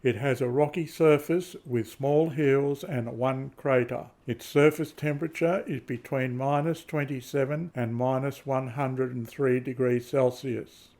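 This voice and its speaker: A man acts as an elderly male historian in a science fiction radio play. His voice sits at a medium pitch. He lectures in a formal, didactic way, setting out facts in order. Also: fairly even intonation, a little mellow, close to an everyday voice. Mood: solemn, informative. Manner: measured, didactic. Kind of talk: amateur radio play acting.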